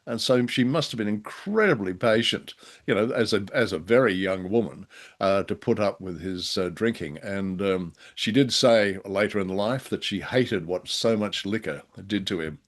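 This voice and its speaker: A man co-hosting a casual podcast discussion, speaking in a halting, hesitant way with wry, self-deprecating humour. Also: on the low side. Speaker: podcast co-host and freelance writer. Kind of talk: casual conversational discussion of old radio drama.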